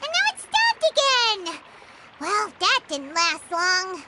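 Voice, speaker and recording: A woman's voice: very high voice, huge pitch swings, slow pace, pushed and bright, slight background noise, exaggerated voice, low-quality recording. A woman voicing a tiny, bubbly, childlike mascot companion who exclaims at things in a video game. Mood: excited, curious.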